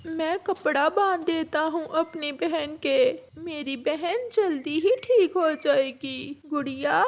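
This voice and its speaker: A woman's voice: sits high, takes it slowly. A woman voicing a stubborn, hot-tempered young boy bird with a childish, insistent manner in a cartoon. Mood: pleading.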